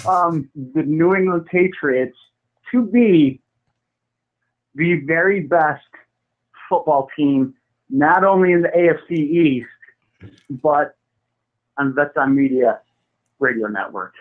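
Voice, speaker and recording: Chuckling man on a tinny phone line. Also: a little high. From Buffalo area, New York. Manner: laid-back, chuckling, halting restarts. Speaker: call-in guest. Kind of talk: casual phone-in chat.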